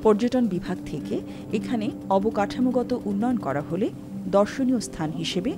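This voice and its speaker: A woman from Bangladesh narrating a scripted travel feature in a calm, even voice. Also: medium pitch, moderate pace. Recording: voice-over over loud music.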